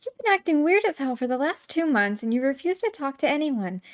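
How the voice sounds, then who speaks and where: A woman voicing an angry, bossy high school girl who snaps and scolds her friend in a visual novel. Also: big pitch swings, a little fast. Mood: furious. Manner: snapping, scolding.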